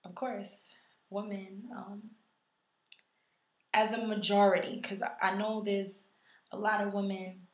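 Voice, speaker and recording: A woman talking casually and thoughtfully in a video response, hoarse because she is losing her voice from illness. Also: mid-range voice, flat delivery, slow pace, long pauses, reverberant. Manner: conversational, reflective, with frequent ums. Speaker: YouTuber.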